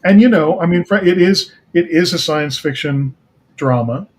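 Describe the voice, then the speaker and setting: A man from North America speaking as an interview guest, casually and haltingly, repeating words as he thinks. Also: a little high. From Canada or the United States. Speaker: guest, writer-producer of an audio drama.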